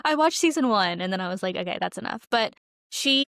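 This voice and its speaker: A woman's voice: medium pitch, lively intonation, brisk, a bit bright, no room sound, clean studio sound. A young woman co-hosting a podcast, chatting casually in a gushing way, sprinkling in "like" often. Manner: gushing, giggly, frequent "like". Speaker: podcast co-host. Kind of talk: casual chat about films.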